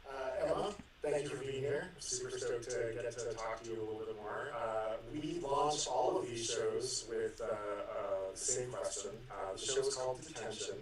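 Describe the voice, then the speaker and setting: A man co-hosting a podcast, relaxed and hesitant with many ums. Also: mid-range voice, moderate pace, hardly any pauses, very echoey, far from the mic, a crowd in the background, low-quality recording. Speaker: co-host and coach. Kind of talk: podcast interview.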